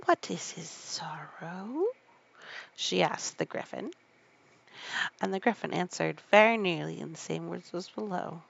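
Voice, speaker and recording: Sleepy, creaky woman reading a story. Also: lowish voice, normal speed, highs cut off. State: sleepy, drifting off. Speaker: bedtime story reader. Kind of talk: sleepy read-aloud of a children's classic.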